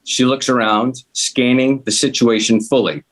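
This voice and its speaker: A man narrates a script at a table read, giving scene headings and action in a measured, plain delivery. His pitch sits in the middle range for a male voice. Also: steady tone. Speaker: stage directions reader.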